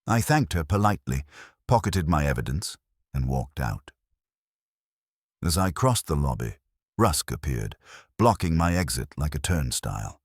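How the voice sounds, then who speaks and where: A man's voice: very deep voice, breathy edge, no echo, clean studio sound. A man reading scripted first-person detective fiction as an audiobook narrator.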